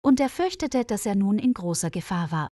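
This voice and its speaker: A woman reading a scripted mystery story for language learners in a measured, careful storyteller's delivery. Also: mid-range voice, very animated, dark tone, dry recording, clean studio sound.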